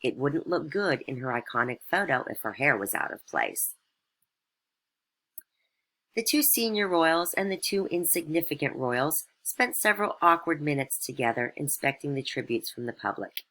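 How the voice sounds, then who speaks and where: A woman's voice: deep voice, expressive, normal speed, a bit bright. A woman reading scripted satirical fairytale narration as a storyteller.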